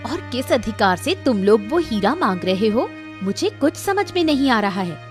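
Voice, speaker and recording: High-pitched firm village woman played by an actress. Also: very animated, normal speed, faint music behind. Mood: defiant.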